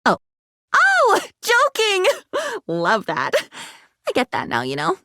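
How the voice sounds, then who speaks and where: A woman's voice: extremely high pitch, huge pitch swings, slow pace, sharp tone, no room sound, clean studio sound, full character voice. A woman playing a living diary in a video game, whimsical, chatty and sardonic, rambling playfully with dramatic asides. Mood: teasing, indignant. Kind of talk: expressive video game voice acting.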